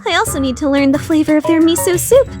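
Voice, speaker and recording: A proud, well-bred teenage girl in an anime radio play. Her formal, composed diction keeps cracking into giddy, gushing love. The pitch is very high and swings widely, with loud music underneath. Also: expressive, quick pace. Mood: prim, earnest. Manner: formal, composed speech breaking into gushing outbursts. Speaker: voice actor.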